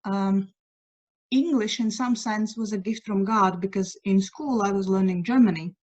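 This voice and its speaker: A middle-aged woman with a Latvian accent talks at a medium pitch, chatting in a second language that she speaks with a halting rhythm as she hunts for words. The sound is muffled and lo-fi, as on a home video call. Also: a little mellow. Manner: halting, searches for English words. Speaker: guest writer sharing news. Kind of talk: casual chat on a video call. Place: at home by a window at night. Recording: dull lo-fi video call audio.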